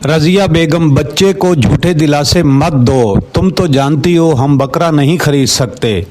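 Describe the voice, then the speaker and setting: A man's voice: a little high, a little slow, darkish tone, lightly acted. A man voicing a poor, patient, devout village father in a cartoon story. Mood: gently firm.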